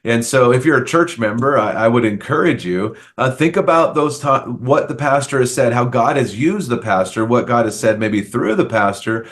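A man's voice: mid-range voice, lively intonation, normal speed, hardly any pauses, a bit bright. Earnest middle-aged man interviewing. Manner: earnest, encouraging, frequent restarts. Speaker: interviewer and pastor. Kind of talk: conversational interview.

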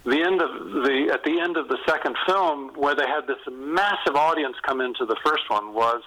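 A man's voice: medium pitch, normal speed, sharp tone, low-quality recording. A man speaking as an author guest in a conversational podcast interview, telling stories candidly.

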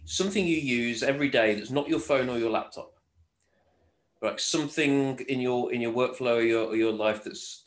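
A man's voice: mid-range voice, reverberant. A male host in a casual interview, asking questions and thinking aloud with hesitant, restarting phrasing. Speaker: podcast host.

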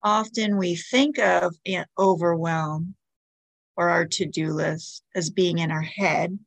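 A woman with a lowish voice guides listeners through a meditation. Her instructions are soothing and gentle, spaced by long pauses, with noticeable fry in the voice. The sound is close and dry. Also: no room sound. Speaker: podcast host, meditation guide.

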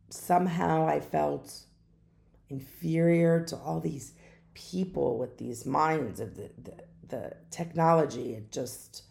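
A middle-aged woman hosting her own podcast, speaking in an unhurried, musing way with some hesitations. She has a naturally deep voice that is hoarse from a lingering cough. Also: dark tone. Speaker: podcast host. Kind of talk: reflective solo podcast talk.

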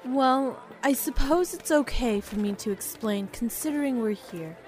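A woman's voice: fairly high pitch, lively intonation, normal speed, darkish tone, faint music behind, lightly acted. Woman playing a teasing, chatty companion. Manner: explanatory, teasing, upbeat. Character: chatty, cheerful female companion of the swordsman.

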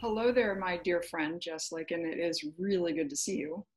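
A woman's voice: lowish voice, normal speed, crowd noise. A woman hosting a podcast, chatting casually with a guest and laughing often. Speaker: podcast host. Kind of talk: casual interview chat.

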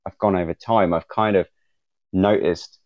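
A man's voice: low voice, fairly even intonation, normal speed, no room sound. A man chatting casually as a podcast panelist, with hesitant, loose phrasing on dull audio. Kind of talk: casual chat. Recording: dull, dry call audio.

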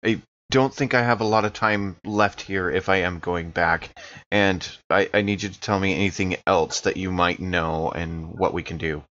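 A man's voice: sits low, steady tone, normal speed, fairly bright tone. A man playing a tabletop game in casual roleplay, recorded with a dull, lo-fi sound. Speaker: player.

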